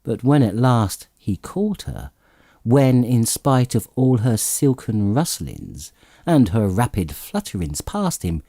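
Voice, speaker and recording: A man narrating a classic story as an audiobook, reading in an expressive, theatrical way with dramatic emphasis. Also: mid-range voice, slow pace, dry recording, clean studio sound.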